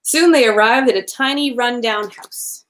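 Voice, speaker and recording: A woman with a fairly high voice reads a storybook aloud for children. Her delivery is expressive and animated, in the playful manner of a bedtime storyteller. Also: normal speed. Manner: animated, with playful asides to listeners.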